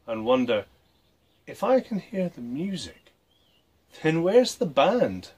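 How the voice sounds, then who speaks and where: A man reading a story aloud for children, with expressive, deliberate phrasing. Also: mid-range voice, slightly rough. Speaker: storybook reader and presenter.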